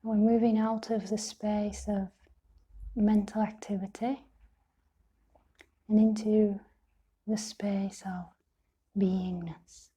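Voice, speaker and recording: A woman leading a guided meditation in a soft, breathy, half-whispered voice, speaking gently and soothingly in short phrases with long pauses. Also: mid-range voice, level tone. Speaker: meditation teacher.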